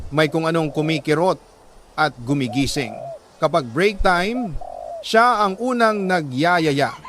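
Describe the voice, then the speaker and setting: Higher-pitched Filipino male storyteller, noisy backdrop. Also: a bit bright. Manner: emotive, deliberate phrasing with pauses. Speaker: radio host and storyteller reading a listener's letter. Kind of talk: dramatic narration of a confessional love story.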